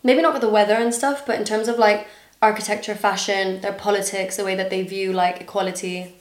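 A woman's voice: medium pitch, little pitch movement, fairly quick. A young woman from Dubai with an international school accent that is partly American, chatting casually as a podcast guest with many fillers. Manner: chatty, giggly, trailing fillers. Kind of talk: casual chat. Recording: echoey room.

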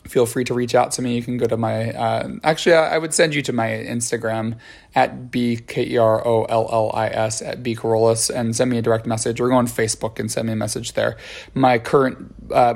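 A man hosting his own dance podcast, chatting casually with lots of ums and restarts, his voice scratchy. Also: mid-range voice. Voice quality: scratchy, gravelly voice. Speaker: podcast host and dance choreographer. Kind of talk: casual solo podcast chat.

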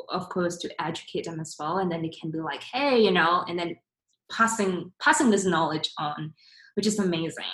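A young woman from Singapore hosting a casual interview, chatty and quick to restart her sentences. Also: on the low side. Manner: chatty, restarts often, many fillers. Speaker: podcast host. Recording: echoey room.

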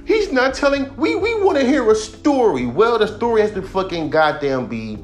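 A man in his thirties hosting his own podcast, a rapper ranting bluntly about music with heavy swearing and a voice he calls monotone. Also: sits high, normal speed, slight background noise. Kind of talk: profane casual rant.

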